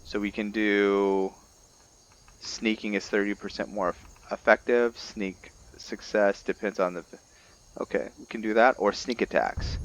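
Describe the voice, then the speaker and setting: A man giving casual commentary on a game roleplay, thinking aloud in an unhurried way. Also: low-pitched, muffled recording, slight background noise. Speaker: gamer narrating roleplay playthrough.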